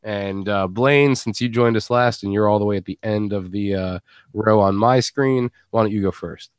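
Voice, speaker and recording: Male podcast host, lowish pitch, loose rambling conversational delivery, dry close mic. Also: normal speed, smooth, dry recording. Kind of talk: casual post-show discussion.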